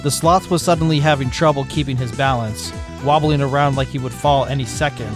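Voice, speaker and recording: A man's voice: mid-range voice, fairly quick, loud music behind the voice. A man narrating a fanfiction story aloud with a dry, wry delivery.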